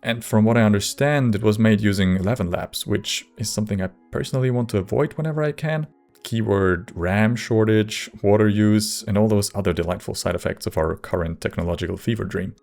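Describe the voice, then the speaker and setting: Low, gravelly man narrating a video. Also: a little fast, darkish tone. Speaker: video creator and modder. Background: faint music.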